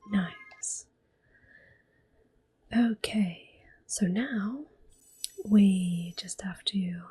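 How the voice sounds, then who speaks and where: A woman giving soft-spoken ASMR game commentary in a gentle, breathy, often whispered murmur. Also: medium pitch. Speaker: gameplay host.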